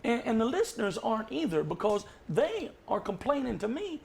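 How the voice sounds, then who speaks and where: A man's voice: very high voice, very animated, normal speed, gravelly, slight background noise. A man who hosts a jam session and sings, talking casually and emphatically on a podcast. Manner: emphatic storyteller, quotes others in a raised voice. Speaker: jam session host and singer.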